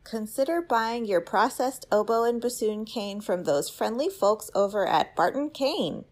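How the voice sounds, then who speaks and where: A woman's voice: fairly high pitch, animated, measured pace. Woman reading a sponsor ad. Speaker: ad reader. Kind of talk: scripted sponsor read.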